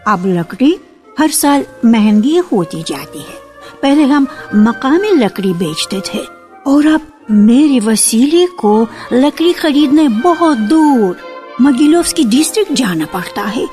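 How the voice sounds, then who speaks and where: A woman's voice: high voice, very animated, slow pace, mellow, soft background music, heavily acted. A woman narrator voicing a devoted, earnest young wife in a storybook radio reading, on an archival recording. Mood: earnest complaint.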